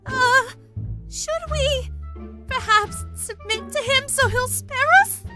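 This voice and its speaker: A woman voicing a volatile, excitable female dragon in an animated comic dub, with theatrical emotional delivery. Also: very high voice, takes it slowly. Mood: timid. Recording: music behind the voice.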